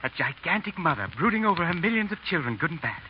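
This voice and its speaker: An actor playing a young, idealistic, penniless French writer with impassioned, declamatory delivery in 1930s radio style. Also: male voice, on the high side, big pitch swings, fast. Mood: rapt, visionary. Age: young adult. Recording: lo-fi noisy 1930s radio broadcast.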